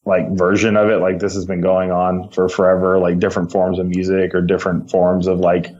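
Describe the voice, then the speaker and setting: A man's voice: deep voice, completely flat delivery, brisk, a little mellow. A man talking as a podcast guest, loosely thinking aloud in a casual brainstorming chat. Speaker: podcast guest, film director. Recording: slightly dull, lo-fi microphone sound.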